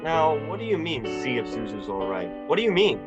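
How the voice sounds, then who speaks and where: Man acting desperate family man, radio drama, highish voice, swinging pitch, agitated, stammering, music underneath. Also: sing-song, a little fast, muffled recording. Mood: irritable, bitter. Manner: agitated, stammering, repeating phrases. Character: frantic, short-tempered, desperate family man.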